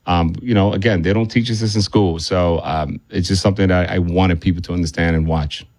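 Deep-voiced man in an interview. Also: fairly even intonation, brisk, a little mellow, no echo. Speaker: film director being interviewed. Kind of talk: conversational interview about a film.

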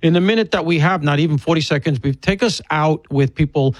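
A man's voice: a little high, brisk, dry recording. An older man with an Alabama accent, hosting an interview with wisecracking, self-deprecating humor. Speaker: radio host. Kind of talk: joking interview chat.

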